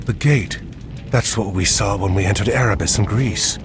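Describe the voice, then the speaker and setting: A man playing a weary, troubled hero in a video game, with a husky, breathy voice. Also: lowish voice, normal speed, over music, lightly acted. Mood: troubled, dazed. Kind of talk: scripted game cutscene dialogue.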